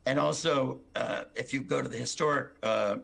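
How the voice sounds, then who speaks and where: A hoarse man presenting his building plan to a town planning board, rambling with many restarts and fillers. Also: medium pitch, normal speed, a bit bright. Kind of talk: public meeting testimony.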